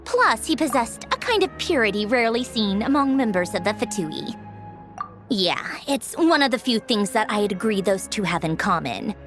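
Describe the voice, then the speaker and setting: Smug posh game villainess type, teasing and refined, very animated pitch, music behind. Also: female voice, moderate pace. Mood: confiding, composed. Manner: poised, smug, refined, faintly condescending. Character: haughty, teasing noblewoman harbinger fond of tea parties. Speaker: high-ranking official of an organization. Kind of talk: scripted video game character dialogue.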